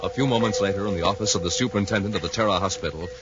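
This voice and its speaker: A man's voice: on the low side, rapid-fire, loud music behind the voice. A man announcing and narrating in punchy, emphatic 1950s radio style, dramatic in his scripted delivery. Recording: muffled, slightly noisy 1950s radio recording.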